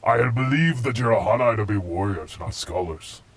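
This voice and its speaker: A man's voice: lowish voice, expressive, normal speed, crowd noise. An actor playing a devout alien warrior who addresses his chieftain formally in a sci-fi audio drama. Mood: grave, loyal. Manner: formal, deferential.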